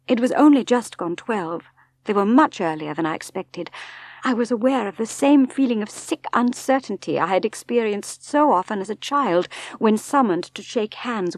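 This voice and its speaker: A woman's voice: on the high side, no room sound. A woman with an English accent reading a novel aloud as dramatic literary narration. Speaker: audiobook narrator.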